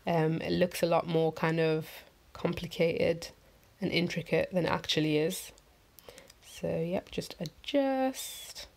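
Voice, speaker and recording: A woman vlogger with a croaky morning voice, talking through a head-wrap tutorial in a chatty, rambling, upbeat voiceover. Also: on the low side, slow pace, darkish tone. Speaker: fashion vlogger. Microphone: voiceover mic.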